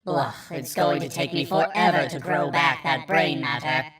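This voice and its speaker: A man voicing a cruel undead necromancer queen who speaks in a slow, dismissive, irritable drawl. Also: mid-range voice, distant mic, a loud crowd, pitched above the performer's own voice, lightly acted. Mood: irritated.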